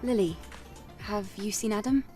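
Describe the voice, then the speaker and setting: A woman plays a young soldier heroine from a video game. She sounds composed and determined, in a mid-range voice with clipped phrasing. She is slightly far from the mic over soft music. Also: mid-range voice, normal speed, lightly acted. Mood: calm. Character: resolute young female soldier, composed and terse.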